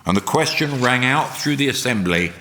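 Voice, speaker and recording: A man reading a solemn, dramatic narration in a deliberate, emphatic oratorical way. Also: medium pitch, expressive, sharp tone, a little hiss or hum. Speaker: reader at a church service. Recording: long hall reverb.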